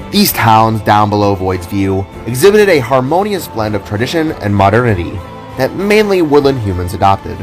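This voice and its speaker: A man reading scripted fantasy narration for an audio drama in a measured, descriptive storybook way. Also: lowish voice. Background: soft background music.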